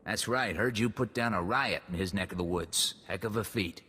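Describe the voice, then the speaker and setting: An actor playing a no-nonsense, plain-spoken military colonel in a video game, terse and matter-of-fact. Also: male voice, lowish voice, moderate pace, bright, forceful tone, slightly rough. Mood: dry. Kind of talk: video game cutscene acting. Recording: long hall reverb.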